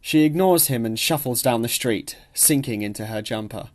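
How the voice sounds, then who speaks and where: A man's voice: mid-range voice, normal speed. Man reading a literary audiobook. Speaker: audiobook narrator. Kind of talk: expressive literary audiobook narration.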